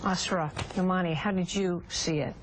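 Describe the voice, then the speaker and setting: A woman anchor introducing guests and putting questions in a broadcast interview, in a measured, crisp way. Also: lowish voice, steady tone, a little hiss or hum, rough recording. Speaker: news anchor.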